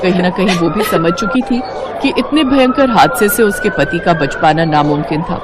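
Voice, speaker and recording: Expressive, animated female storyteller. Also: on the high side, normal speed, a loud crowd, heavy background noise. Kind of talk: scripted story narration.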